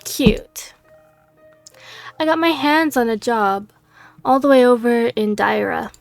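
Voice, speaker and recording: A laid-back female monster hunter read by a woman, with a higher voice delivered slow and unhurried. The voice has a gravelly, fried edge and sounds a little worn, as if it is going hoarse. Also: no echo, soft background music. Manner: reads dialogue aloud in her own plain voice. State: losing her voice.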